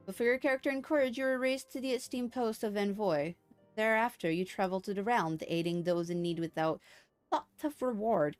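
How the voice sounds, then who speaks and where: A woman's voice: mid-range voice, natural voice. Woman voicing a gracious, formal fantasy leader over music. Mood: solemn, praising. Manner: formal, measured, stately wording. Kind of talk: video game dialogue read aloud. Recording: game music behind the voice.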